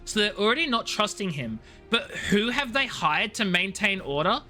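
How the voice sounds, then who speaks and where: Lively, bright-voiced woman streaming a game. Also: mid-range voice, normal speed, faint music behind. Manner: playful, jokey, chatty asides. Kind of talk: casual live-stream chat and commentary.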